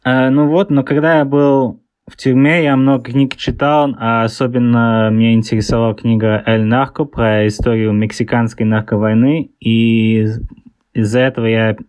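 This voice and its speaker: A man in his thirties with an English-tinged Russian accent, a guest author answering interview questions in a halting way, often pausing to search for words. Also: mid-range voice, level tone, a little mellow, smooth, no echo. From born in Russia, raised in England. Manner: hesitant, searches for words, many fillers.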